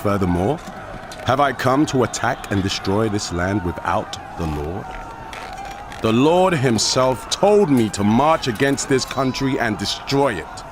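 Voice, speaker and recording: Actor as arrogant, booming army commander, widely swooping delivery. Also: male voice, expressive, a little slow, close to an everyday voice. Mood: mocking, scornful. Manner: declamatory, mocking, forceful oratory. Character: arrogant, taunting Assyrian military commander, booming and menacing. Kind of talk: dramatized audio scripture acting. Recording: noisy outdoor ambience behind.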